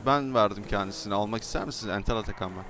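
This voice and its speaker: A man's voice: lowish voice, rapid-fire, a bit bright, slight background noise. A man bantering casually while trolling in an online roleplay game, switching between mock politeness and slang. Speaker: gaming YouTuber.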